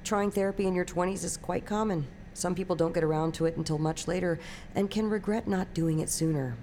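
An actress playing a calm, patient female therapist, speaking in measured, natural audio drama dialogue. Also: on the low side, slight background noise, close to an everyday voice. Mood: calm, probing.

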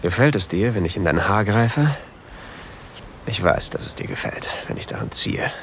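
An actor playing a possessive new lover in an intimate erotic audio drama, murmuring breathily and often whispering close to the microphone. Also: male voice, lowish voice, expressive, normal speed, a little hiss or hum. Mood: aroused, possessive. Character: possessive, seductive new lover, adult man, on a sailboat. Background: faint sea ambience.

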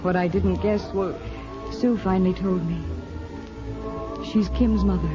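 A woman playing a patient, wistful woman in love in a 1950s radio drama, gentle and wry, with an American accent. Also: mid-range voice, steady tone, unhurried, close to an everyday voice. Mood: understanding, wistful. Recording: dull old radio recording with music.

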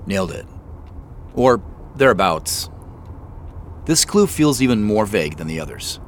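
A soft-spoken younger brother in a naturalistic audio drama. His low voice moves slowly but with lots of rise and fall, hesitant and self-deprecating. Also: big pitch swings. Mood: casual, low-key. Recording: faint road noise behind voice. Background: car driving ambience.

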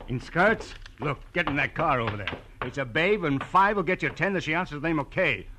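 A man's voice: fairly high pitch, lively intonation, fairly quick, lightly acted. An American man playing a scheming, cynical private detective in a 1940s radio crime drama, slangy and smug. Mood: suspicious. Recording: noisy vintage radio recording.

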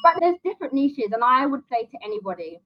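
A woman as an interview guest, briskly listing options and giving direct career advice. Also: sits high, sing-song, highs cut off, slight background noise.

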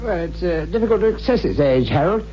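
An actor playing a genial, hesitant amateur scholar in a 1960s radio drama, with a musing, donnish manner. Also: male voice, high voice, expressive, fast talker, soft background music. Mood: puzzled, musing. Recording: muffled, humming old radio recording.

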